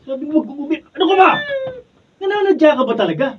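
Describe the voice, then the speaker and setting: A man's voice: very high voice, big pitch swings, very fast. A man playing an irritable, scolding husband and father in a Filipino radio drama. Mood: angry. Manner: snapping, scolding outbursts. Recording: distant mic, dull faintly noisy sound.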